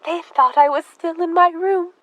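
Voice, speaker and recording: A young woman playing a devout, unhinged murderous sister in an audio drama, with halting, fervent delivery. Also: very high voice, fairly even intonation, dry recording, highs cut off. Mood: fervent, eerie. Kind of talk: high school audio drama acting.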